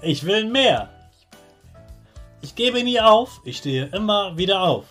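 A man's voice: high-pitched, sing-song, slow, a bit bright, soft background music. A man hosts a children's podcast, talking directly to the child in simple, encouraging, motivational words.

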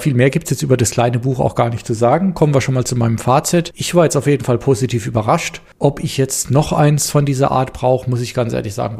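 A German-speaking man hosting his own podcast, reviewing a book in a relaxed, thinking-aloud way with many fillers. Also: medium pitch, gravelly, slightly rough. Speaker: podcast host reviewing books. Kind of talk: casual solo review.

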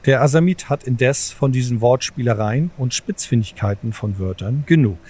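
A man narrating an audio drama in a formal, deliberate, literary storytelling manner. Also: mid-range voice, dark tone, breathy edge.

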